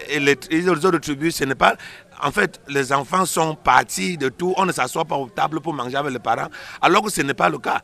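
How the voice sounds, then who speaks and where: A male pastor answering interview questions. His voice is bright and forceful at an ordinary male pitch. He teaches emphatically, restating key phrases to drive them in. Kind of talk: explanatory interview answers on a religious show.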